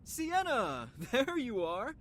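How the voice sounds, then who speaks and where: A smug, doting boyfriend in an audio comedy. His pitch swings widely as he patronises people, over a faint rumble. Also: huge pitch swings, slow, fairly bright tone, full character voice. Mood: affectionate.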